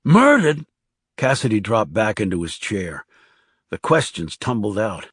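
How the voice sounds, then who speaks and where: Expressive male audiobook narrator, very dry sound. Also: fairly low pitch, moderate pace, husky, no echo. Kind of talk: scripted thriller narration.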